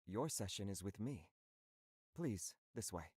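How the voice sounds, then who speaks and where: A woman voicing a reserved, quietly courteous male doctor with clipped, polite speech in a visual novel. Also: a bass voice, expressive, measured pace, husky, pitched below the performer's own voice, exaggerated voice. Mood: calm, reserved.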